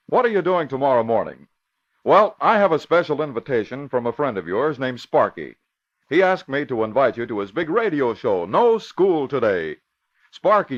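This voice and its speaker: A man reading a scripted vintage radio promo for children in a chummy, folksy way. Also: medium pitch. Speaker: radio announcer. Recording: muffled old radio broadcast.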